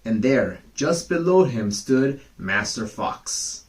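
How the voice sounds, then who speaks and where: A man reading bedtime fables aloud for children, gentle and chatty, with casual asides to his young listeners. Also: medium pitch, expressive, slow pace. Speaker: storyteller.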